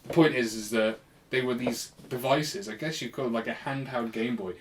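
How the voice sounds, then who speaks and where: A man's voice: medium pitch, normal speed, a little hiss or hum. A man hosting a casual chat with a friend, explaining things in a loose, rambling way. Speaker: podcast host. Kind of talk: casual retro gaming chat between friends.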